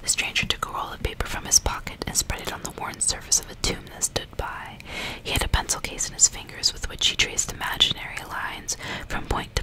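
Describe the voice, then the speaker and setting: A woman whispering in a breathy, unvoiced hush, as an ASMR host reading aloud in an unhurried way. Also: rough recording. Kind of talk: whispered ASMR audiobook reading with casual asides.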